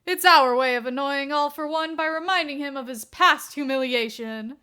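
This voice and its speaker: A woman's voice: high voice, moderate pace, pushed and bright, pitched above the performer's own voice. A woman voicing a mischievous middle-aged male superhero who plays the gleeful villain, boastful and theatrical in an audiobook-style story.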